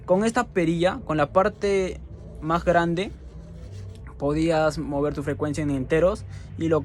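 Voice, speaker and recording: A young adult man giving an explanatory student presentation of lab work, halting and correcting himself as he goes. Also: on the high side.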